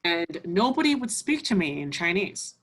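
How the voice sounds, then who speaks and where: A woman's voice: on the low side, big pitch swings, normal speed, a bit bright, breathy edge, rough recording. A woman from Canada, a musician guest, talking casually in an interview with many fillers.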